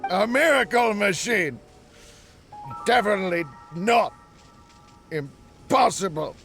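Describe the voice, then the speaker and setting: An actor playing a drunken, deranged researcher in a video game, resentful and weepy, stammering in erratic outbursts. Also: male voice, high-pitched, animated, slow pace, fairly bright tone, faint music behind, exaggerated voice. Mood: gloating, crazed. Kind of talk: video game cutscene acting.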